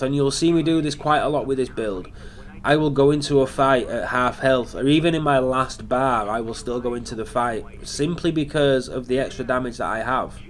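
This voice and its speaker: Nasal, sniffly man explaining a game build. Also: medium pitch. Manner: chatty, explaining step by step. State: nasal and sniffly from hay fever. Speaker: gaming channel host. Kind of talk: casual gameplay commentary.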